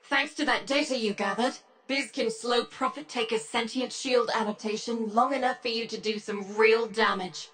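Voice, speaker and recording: A woman's voice: fairly high pitch, steady tone, normal speed, a bit bright. An actress voicing a determined woman who leads a rebel operation in a video game, giving orders with an authoritative briefing manner. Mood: resolute. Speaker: mission commander. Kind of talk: video game character acting.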